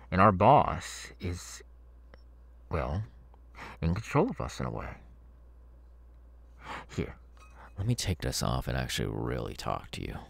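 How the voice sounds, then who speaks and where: A man plays a masked demon's henchman in a horror ASMR roleplay, sinister and chatty. The voice is low and gravelly, recorded dry and close. He rambles haltingly and takes his time, soothing and threatening at once. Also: no room sound, heavily acted. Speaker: ASMR voice actor.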